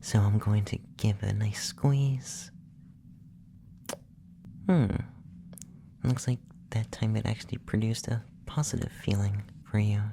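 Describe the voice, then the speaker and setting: A performer playing a calm, clinical, gently curious young effeminate male alien abductor in a soft, breathy, often whispered ASMR roleplay, speaking slowly and soothingly. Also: mid-range voice, a little hiss or hum. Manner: slow, soothing, softly spoken, matter-of-fact.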